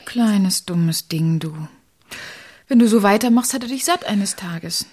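An actress in a German radio play as a breezy, worldly friend, teasing and scolding with affection. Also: female voice, medium pitch, measured pace, slightly rough. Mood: tenderly scolding. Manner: lively, teasing, frank.

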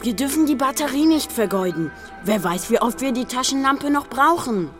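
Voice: high-pitched, expressive, moderate pace, fairly bright tone, soft background music. An actor in a children's audio drama playing a sensible, practical child adventurer who speaks earnestly.

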